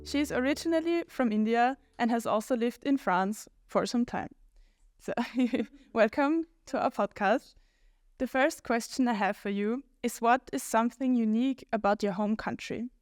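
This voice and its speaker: A woman's voice: fairly high pitch, moderate pace, dry recording, clean studio sound. A woman hosting a podcast, introducing her guest and asking questions in a friendly way. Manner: friendly, laughs often, short backchannels. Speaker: podcast host. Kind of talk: casual interview.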